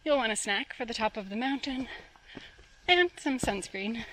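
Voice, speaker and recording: A woman's voice: on the high side, lively intonation, moderate pace, fairly bright tone, a little hiss or hum, poor audio. A young woman from New Hampshire talking casually to her viewers while walking a forest mountain trail, recorded on a small handheld camera mic outdoors. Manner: chatty, upbeat, addresses viewers directly. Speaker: hiking vlogger. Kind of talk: casual vlog narration to camera.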